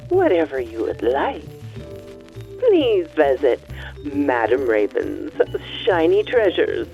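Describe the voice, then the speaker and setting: A woman's voice: low-pitched, highs cut off. A woman hosting and narrating a radio drama in a theatrical, teasing horror-host style. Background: music.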